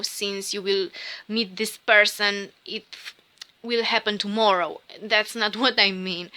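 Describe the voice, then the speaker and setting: A Romanian woman whose first language is Romanian, giving a slow, musing rune reading in English with a Romanian accent. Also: mid-range voice, pushed and bright, clean tone. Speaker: tarot reader.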